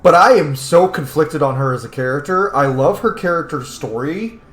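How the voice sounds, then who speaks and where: A man's voice: on the high side, normal speed, a bit bright. A man giving casual, chatty, musing commentary as he plays a video game. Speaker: let's player. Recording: roomy echo with faint background noise.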